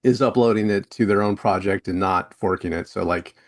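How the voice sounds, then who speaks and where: A man's voice: deep voice, fairly even intonation, a little fast. A man hosting a podcast interview, chatting casually as he sums up points and leads into his questions. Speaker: podcast host.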